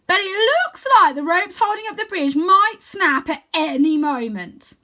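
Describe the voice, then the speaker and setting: A woman voicing a cocky, joking young boy adventurer in a storybook, calling out loudly and theatrically. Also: extremely high pitch, animated, measured pace, pitched above the performer's own voice, heavily acted. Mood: teasing alarm.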